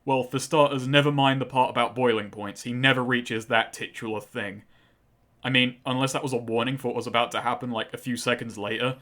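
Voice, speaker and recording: A man's voice: mid-range voice, fairly even intonation, brisk, a bit bright. A man giving scripted, sardonic and profane game commentary. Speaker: game reviewer.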